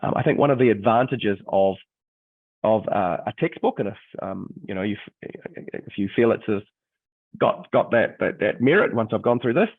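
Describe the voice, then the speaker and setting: Croaky New Zealand man on a video call. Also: fairly low pitch, lively intonation, normal speed, dry recording. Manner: hesitant, many ums and restarts. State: croaky voice from illness. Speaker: history teacher and textbook author. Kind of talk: webinar presentation. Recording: dry, phone-like video call audio.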